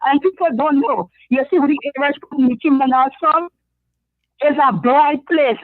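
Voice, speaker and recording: A woman's voice: high-pitched, normal speed, no room sound. Trinidadian woman on a phone line. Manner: hesitant, lots of ums. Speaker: caller. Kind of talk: phone call-in.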